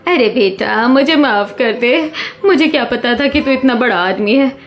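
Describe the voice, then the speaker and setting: A woman playing a high-voiced gushing cartoon mother-in-law. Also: animated, a little slow. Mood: amazed, apologetic. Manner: exclamatory, theatrical. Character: gushing middle-aged mother-in-law, greedy and showy. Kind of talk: Hindi cartoon story acting.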